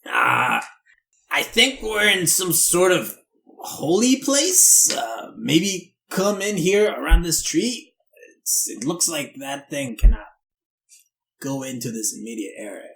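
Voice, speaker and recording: A man's voice: a little high, sing-song, slow, creaky, pushed and bright. A man chatting casually as a player at a tabletop game, questioning and a bit flippant.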